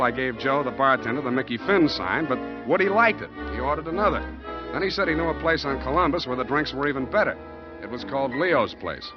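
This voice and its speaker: An actor playing a wry, street-wise private detective, deadpan and wisecracking, in 1940s noir radio style. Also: male voice, mid-range voice, close to an everyday voice. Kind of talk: 1940s radio acting, first-person noir narration. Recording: muffled old radio broadcast with music.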